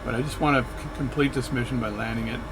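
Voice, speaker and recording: A man's voice: medium pitch, steady tone, fast, gravelly, noisy background. A man narrating his flight sim session in a chatty, rambling way, often correcting himself mid-sentence. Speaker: flight sim video creator. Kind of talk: casual narration while playing a flight sim.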